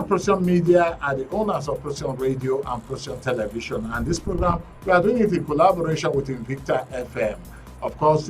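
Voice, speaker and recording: A man's voice: a little high, distant mic. A man hosting a current affairs call-in show in a broadcast studio. He speaks emphatically and draws out the channel names and handles. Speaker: radio and TV show host.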